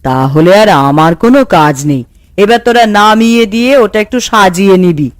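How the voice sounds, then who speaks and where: A woman's voice: mid-range voice, expressive, normal speed, no room sound, natural voice. A woman voicing a hopeful, good-hearted village housewife cook in a cartoon, homely and earnest. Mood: busy, reassuring. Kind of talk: cartoon voice acting.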